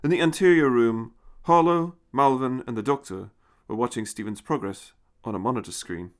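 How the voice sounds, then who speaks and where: Guy reading a sci-fi audiobook, medium pitch, scripted third person narration. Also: moderate pace. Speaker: story narrator. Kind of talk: scripted narration of a science fiction story.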